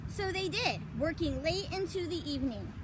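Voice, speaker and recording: A woman's voice: very high-pitched, lively intonation, normal speed, noisy background. A woman teacher reading a children's picture book aloud expressively, breaking off for chatty asides to explain things. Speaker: elementary school teacher reading to pupils.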